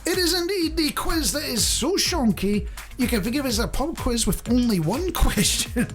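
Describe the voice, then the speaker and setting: A man's voice: very high voice, lively intonation, moderate pace, fairly bright tone, soft background music. A man presenting a radio show in a chatty, rambling, jokey way, speaking directly to the listener.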